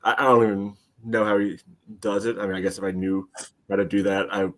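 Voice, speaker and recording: A man's voice: fairly low pitch, flat delivery, a little fast. A middle-aged American man chatting about soccer as a podcast panelist, in a rambling, conversational way. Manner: rambling, leans on "you know". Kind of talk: casual soccer analysis chat.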